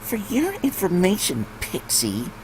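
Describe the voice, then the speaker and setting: A man's voice: sits high, huge pitch swings, unhurried, slight background noise, voice pushed up, heavily acted, low-quality recording. A man voicing a proud, whiny, indignant little pony girl in a storybook reading. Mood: indignant, boastful. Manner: whiny, speaks of self in third person.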